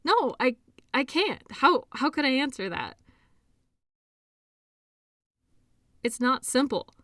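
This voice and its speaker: A woman acting an earnest, defensive and lonely sports doctor in an intimate audio drama, speaking in an emotional, halting way. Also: high-pitched, sing-song, pushed and bright, no echo, studio quality, heavily acted. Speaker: audio roleplay performer.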